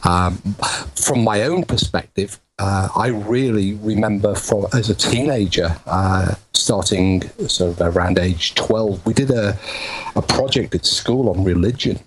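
A middle-aged man from the Wirral, a guest speaking in a measured, hesitant way with many fillers. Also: fairly low pitch, slight background noise, low-quality recording. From England (Wirral). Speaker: guest, paranormal researcher. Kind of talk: conversational interview.

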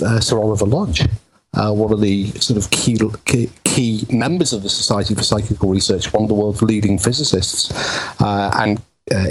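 A middle-aged man speaks as an interview guest, measured and hesitant, pausing on fillers. His voice sits low, with a faint hiss of background noise. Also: poor audio. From England (Wirral). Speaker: guest, paranormal researcher. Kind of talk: conversational interview.

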